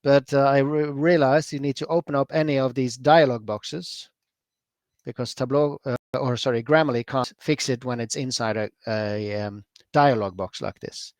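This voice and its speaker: Norwegian guy walking through a screen share demo, mid pitch, dry close sound, lots of ums. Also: moderate pace, no echo. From Norway. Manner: relaxed and chatty, with many fillers and self-corrections. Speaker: presenter at a user group.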